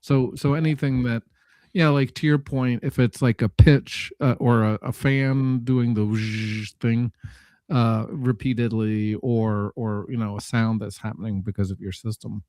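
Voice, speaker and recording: A man chatting casually as a panelist, talking in a halting, rambling way and often correcting himself. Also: medium pitch, steady tone, warm tone, dry recording, studio quality. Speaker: panelist on a live audio show. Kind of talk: casual unscripted chat.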